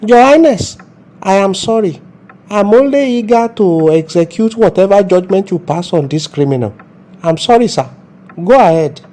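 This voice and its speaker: A self-important Nigerian police officer played by a man with a muted, dark tone. He talks like a zealous scold in a comedy skit. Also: high voice, expressive, normal speed. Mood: apologetic.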